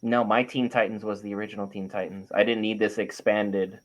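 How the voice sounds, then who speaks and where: A man's voice: on the low side, little pitch movement, a little fast, rough recording. A man co-hosting a panel, giving emphatic, opinionated takes in a casual debate and building his points in lists. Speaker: panel show co-host. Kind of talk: casual opinionated debate about animated series.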